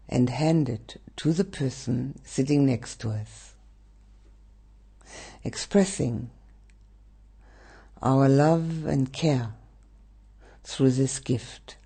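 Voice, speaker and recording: A man leading a guided meditation as a teacher, speaking gently and soothingly with long pauses. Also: medium pitch, gravelly, slightly rough.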